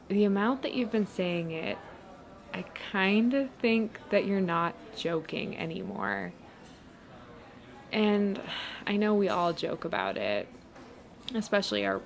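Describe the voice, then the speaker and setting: A woman playing a supportive, gently teasing writer friend in a casual ASMR roleplay, speaking in a gentle, hesitant, encouraging way. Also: medium pitch. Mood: hesitant, concerned. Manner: hesitant, gentle, encouraging, chuckling softly between phrases. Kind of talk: ASMR roleplay, casual one-sided chat. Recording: clear steady background noise, ambience.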